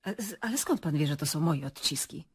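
A woman's voice: deep voice, animated, brisk, breathy edge, exaggerated voice. An actress playing an evasive woman journalist in a radio drama, cornered and indignant in her denials. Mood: rattled, indignant. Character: defensive, evasive female journalist cornered as murder suspect. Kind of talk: Polish radio drama acting.

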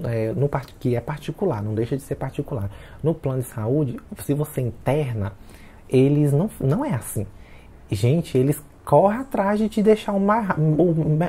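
A chatty man in his late twenties talks casually to camera, addressing his viewers directly all the time. His voice is husky and breathy, with a warm, mellow tone. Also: fairly high pitch. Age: 20s. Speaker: vlogger.

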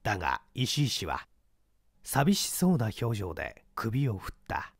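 A man narrating a mystery story in a deliberate, dramatic reading style. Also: on the low side, huge pitch swings, gravelly, no room sound, studio quality.

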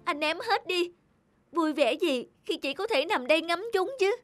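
A woman voicing a weary, sad young woman in a wheelchair in an animated cartoon. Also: very high-pitched, normal speed, dry recording, soft background music. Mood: bitter. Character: young woman in a wheelchair, bitter then tender.

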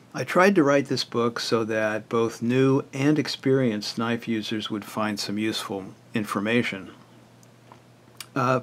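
An older man with a hoarse, raspy voice who often clears his throat, walking plainly through a book he wrote. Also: fairly low pitch. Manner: plain, matter-of-fact, list-like explanation. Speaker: author presenting his book. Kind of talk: casual book walkthrough.

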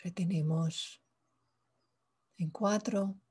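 A woman leading a guided meditation in a soft, breathy voice, calm and hushed, pausing between short phrases. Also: lowish voice. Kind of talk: guided meditation, slow spoken instructions.

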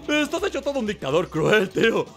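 Man playing a slangy, animated young goofball. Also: high voice, brisk, soft background music, pitched above the performer's own voice, exaggerated voice. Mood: indignant. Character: laid-back, slangy, goofy young man. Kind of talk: video game character voicing.

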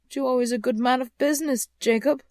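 A woman's voice: a little high, animated, brisk, no echo, studio quality, natural voice. A woman voicing a frightened, deferential businessman in a storybook, humble, pleading and faltering as he speaks to a ghost. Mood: nervous pleading. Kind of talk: storybook character reading.

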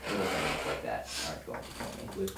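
A young man with a deep voice gives casual directions at a table read. He is heard from afar over clear noise. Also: brisk. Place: home dining room, around a table. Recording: roomy, distant mic, clear noise.

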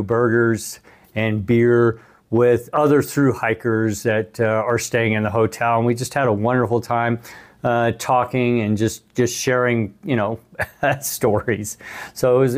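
A man of 61 talking casually as a hiking vlogger and interviewer, recorded on a clip-on mic in a small hotel room. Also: medium pitch. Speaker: vlogger, thru-hiker interviewing another hiker. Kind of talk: casual vlog talk to camera. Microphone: clip-on lavalier on the chest.

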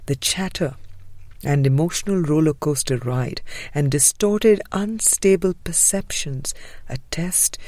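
Woman narrator, low register, unhurried pace, breathy with whispery endings, gentle meditative audiobook reading. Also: no room sound. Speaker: audiobook reader. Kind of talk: scripted spiritual audiobook narration.

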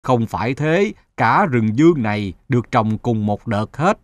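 A man voicing a calm, wise older father who advises in a measured, gently instructive way in a cartoon. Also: medium pitch, no echo, close to an everyday voice. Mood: patient. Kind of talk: Vietnamese moral-story cartoon dubbing.

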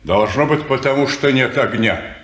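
A man's voice: mid-range voice, normal speed, fairly bright tone, reverberant, lightly acted. An actor playing a dry, sardonic, cynical man in a 1950s radio play. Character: cynical detached man, former lover.

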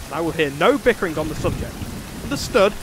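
A man's voice: a little high, expressive, quick pace, background noise, close to an everyday voice. An actor playing a stern, officious male leader in a comic fantasy audio drama, formal and authoritative.

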